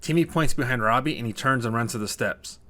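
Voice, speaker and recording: A man's voice: mid-range voice, fairly quick, bright, forceful tone. A man narrating a scripted short story as a storyteller.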